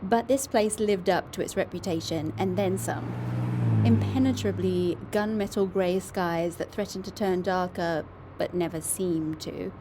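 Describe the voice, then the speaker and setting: Female actor as investigative podcast reporter: lower register, conversational, candid, dry first-person storytelling. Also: a little slow, background noise, lightly acted. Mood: scene-setting. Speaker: reporter and narrator.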